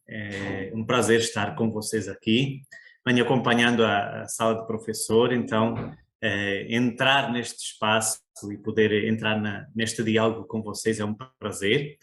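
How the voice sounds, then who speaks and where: A middle-aged man from Portugal with a European Portuguese accent, speaking as a podcast guest in a measured, reflective way. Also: on the low side, a bit bright. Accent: European Portuguese, Coimbra. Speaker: guest, school coordinator. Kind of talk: conversational podcast interview. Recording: roomy echo.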